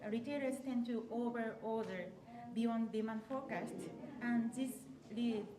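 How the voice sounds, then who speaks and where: A woman with a Japanese accent giving an informal group presentation in careful, halting phrases. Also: fairly high pitch, fairly even intonation. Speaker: workshop participant, consultant. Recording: echoey, distant mic, crowd chatter.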